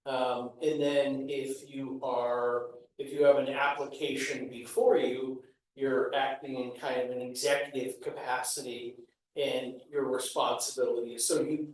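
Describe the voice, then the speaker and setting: A man on a town commission, explaining procedure at a public meeting in a measured way with many fillers. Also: mid-range voice, level tone, darkish tone, a little hiss or hum. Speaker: commission member. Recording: echoey room, distant mic.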